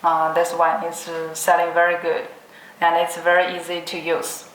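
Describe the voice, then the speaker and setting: A young woman giving an unscripted product demonstration in short, simple, halting phrases. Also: on the low side, monotone, a bit bright. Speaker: product demonstrator for an electronics brand. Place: indoor room at a table with green backdrop. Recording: roomy echo, clearly noisy background.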